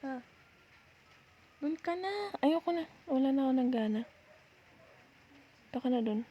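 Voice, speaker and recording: High-pitched sulky Filipino girlfriend, acted by a woman. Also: expressive, measured pace, lo-fi sound. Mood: sulky, resigned. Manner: scolding, pouty, rhetorical questioning. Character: nagging, sulky young girlfriend fed up with partner's gaming. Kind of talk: ASMR girlfriend roleplay.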